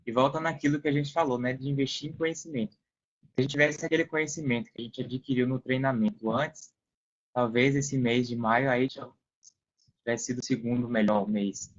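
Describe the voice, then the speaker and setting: A man's voice: mid-range voice, fairly even intonation, a little fast. A Brazilian man explaining things casually as an interview guest, repeating points for emphasis. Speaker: podcast guest, affiliate marketer. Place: online video call. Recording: dull, lo-fi call audio.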